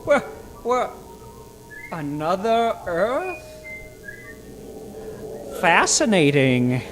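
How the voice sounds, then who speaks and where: A woman playing an excitable scholarly professor in an old-time radio serial, declaiming theatrically and deliberately. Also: medium pitch, music in the background. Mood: awed wonder. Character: excitable scholarly professor marvelling at strange worlds.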